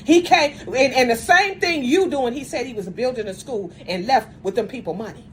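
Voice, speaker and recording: A fairly high-pitched woman delivers a heated rant into the camera. She is blunt and confrontational, repeating herself and tripping over restarts as she presses her point. A faint hiss of noise sits under her voice. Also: moderate pace. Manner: says she has a strong voice. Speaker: video commentator. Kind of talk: angry rant addressed to camera.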